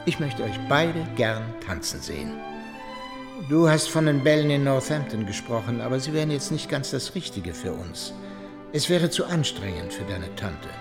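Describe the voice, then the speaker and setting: Radio play: actor as older family patriarch, courteous and decided, animated melody, medium register. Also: male voice, mid-range voice, normal speed, music in the background, natural voice. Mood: decided, benevolent. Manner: formal, courteous, authoritative. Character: formal, dignified patriarch uncle, measured and decided. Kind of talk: German radio play acting.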